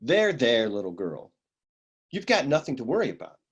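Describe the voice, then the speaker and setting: A man plays a hard-boiled private eye in a parody noir radio play. His voice is husky and rough, swinging widely in pitch as he delivers wisecracks with a deadpan air. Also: normal speed, dull audio, exaggerated voice. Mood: wry, dismissive.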